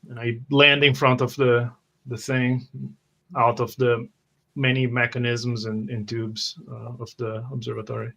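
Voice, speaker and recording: A man's voice: mid-range voice, little pitch movement, measured pace, vocal fry, a bit bright. A man playing a character in a tabletop roleplaying game, describing his actions in first person. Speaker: player.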